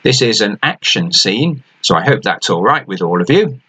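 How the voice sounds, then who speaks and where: Author, male, animated storyteller; bright, forceful tone. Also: lowish voice, normal speed, breathy edge. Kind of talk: introducing his book and reading aloud.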